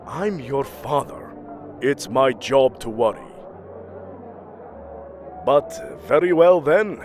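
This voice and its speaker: A man's voice: mid-range voice, lively intonation, takes it slowly, soft background music. A man playing a grand, protective father god, theatrical and emphatic, in an audio drama. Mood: concerned, relenting.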